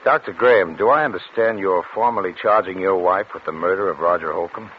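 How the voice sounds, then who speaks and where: An actor playing a businesslike, skeptical police inspector, questioning dryly in a 1940s radio drama. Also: male voice, low voice, brisk, close to an everyday voice. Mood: skeptical, probing. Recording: muffled, hissy vintage 1940s radio recording.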